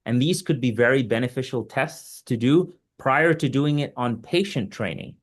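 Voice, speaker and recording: A man's voice: mid-range voice, normal speed. An earnest man from Egypt answers questions as an invited surgical expert. He explains step by step and stresses his points, often with practical examples. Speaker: surgeon and invited webinar speaker.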